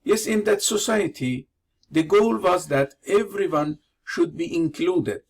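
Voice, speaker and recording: Iranian-born guy giving a lecture, slow careful english, bit higher voice. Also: lively intonation. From Iran. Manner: measured, deliberate delivery in non-native English. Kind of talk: prepared lecture with slides.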